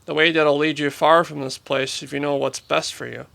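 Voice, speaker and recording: An actor playing a weathered, gruff but tender-hearted frontier vet in a Western radio drama. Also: male voice, a little high, flat delivery, moderate pace, a bit bright. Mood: cold, menacing. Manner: gruff, rustic. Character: lanky weathered grumpy vet, tender toward animals.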